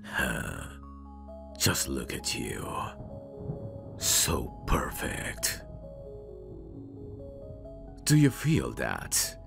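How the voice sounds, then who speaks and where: A possessive mad scientist, acted by a man for an ASMR roleplay, murmurs in an extremely low, gravelly voice. He is creepy yet doting, intimate and theatrical, with a breathy edge and lively swings of intonation. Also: exaggerated voice. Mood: admiring, eerie. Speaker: ASMR voice actor. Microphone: close microphone. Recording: close-miked voice over clear music. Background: music.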